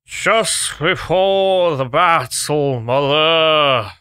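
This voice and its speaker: A man's voice: a little high, expressive, drawn-out words, sharp tone, clear, resonant tone, no echo, exaggerated voice. A man voicing a drunken knight who croons slurred songs. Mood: drunken singing. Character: drunk old knight singing maudlin songs.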